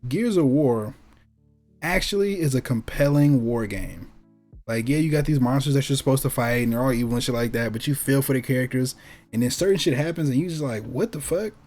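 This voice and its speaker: A man's voice: medium pitch, lively intonation, fairly quick, a little mellow, faint music behind. A man hosting a gaming talk show, chatting casually in an emphatic, opinionated, slangy way with plenty of swearing. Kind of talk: casual chat about video game movies and anime.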